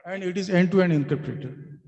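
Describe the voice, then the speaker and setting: A man's voice: sits high, unhurried, darkish tone, muffled recording. A man answering technical questions as a company representative, insistent yet deferential, in an echoing hall. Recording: echoey hall with background noise.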